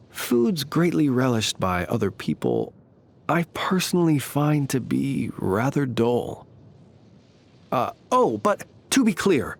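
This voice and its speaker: An actor playing a courtly, ancient inhuman gentleman soldier in a video game, speaking in a polite, formal, measured and wryly understated manner. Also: medium pitch. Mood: genial, wry. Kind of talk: scripted game character voice lines.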